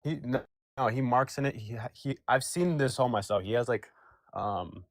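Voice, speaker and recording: A man's voice: mid-range voice, normal speed. A young man calling in, rambling casually with many fillers. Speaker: caller. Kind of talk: casual chat.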